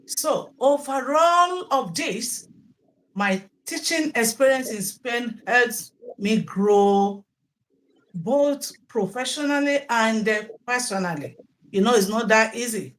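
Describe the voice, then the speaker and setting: A woman's voice: on the high side, lively intonation, slow, a bit bright, poor audio. A middle-aged Nigerian woman, a native Yoruba speaker, talking at length as a teacher being interviewed, often repeating words and restarting. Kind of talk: interview answers.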